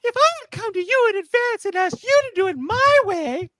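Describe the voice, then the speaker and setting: Woman acting defiant young female ensign, very high voice, animated, emphatic, radio play. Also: a little fast, no room sound. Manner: argumentative, emphatic. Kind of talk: amateur radio theatre reenactment.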